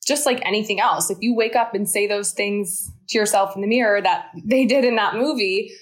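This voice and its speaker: A woman's voice: mid-range voice, a little fast. Earnest millennial woman explaining her ideas. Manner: earnest, encouraging, speaks in flowing explanations. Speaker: guest, founder of a mental fitness studio. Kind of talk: interview conversation.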